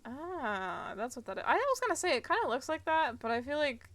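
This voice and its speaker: A woman's voice: high-pitched, very animated, fairly quick, a bit bright, slight background noise. A woman game streamer giving casual live playthrough commentary, with wry, giggly asides.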